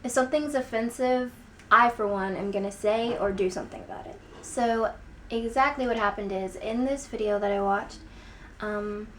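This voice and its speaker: A woman's voice: fairly high pitch, normal speed, a bit bright. Emphatic young American woman, noisy recording. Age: young adult. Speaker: video blogger. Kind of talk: opinion vlog to camera. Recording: clear background noise, room ambience.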